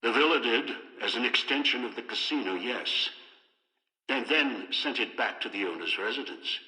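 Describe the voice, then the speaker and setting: An older actor voicing a ruthless, obsessive, scheming old man in a video game, deliberate and imperious, with dramatic pauses and an echoing radio-style sound. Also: medium pitch, lively intonation, pushed and bright. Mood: commanding, calculating.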